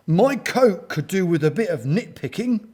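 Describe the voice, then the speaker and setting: A man voicing fussy female gorillas with a sighing, drawn-out delivery in a children's storybook. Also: sits high, lively intonation, pitched above the performer's own voice. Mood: haughty, dismissive. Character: female gorillas, fussy, haughty, then gushing admirers.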